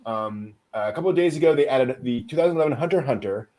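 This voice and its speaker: A man's voice: medium pitch, lively intonation, rapid-fire, warm tone. A man hosting a casual news rundown with co-hosts, talking in a hesitant, conversational way. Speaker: host presenting anime news.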